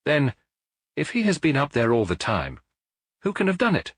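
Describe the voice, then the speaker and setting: A man's voice: a little high, huge pitch swings, normal speed, slightly rough, no echo, studio quality, voice pushed up, full character voice. A man voicing an abrupt, serious detective in a mystery audiobook. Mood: abrupt, puzzled. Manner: abrupt, grave. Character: abrupt, serious, swarthy male detective friend of a priest.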